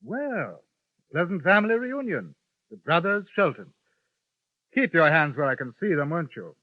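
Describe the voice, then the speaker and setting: Calm and courteous, this gentleman gunman speaks with dry irony, his voice sitting a little high and swinging widely in pitch. The old radio recording is tinny and narrow, like a phone line. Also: moderate pace. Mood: coolly ironic. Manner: dry, courteous, coolly ironic. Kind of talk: 1950s radio drama acting.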